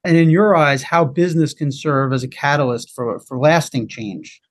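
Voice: mid-range voice, moderate pace. A podcast host asking interview questions in an upbeat, encouraging way.

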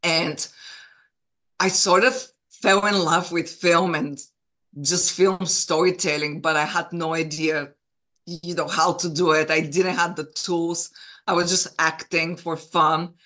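A woman's voice: lowish voice, steady tone, slow, a bit bright. A woman from Bulgaria with a Bulgarian accent, a guest in an interview telling her career story earnestly and with enthusiasm, with small restarts. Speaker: guest, film producer. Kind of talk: interview conversation.

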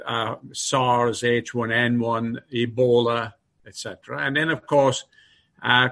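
A man with a New York accent carrying traces of his Irish upbringing, answering interview questions in a measured, steady way. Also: medium pitch. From Ireland. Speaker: guest executive.

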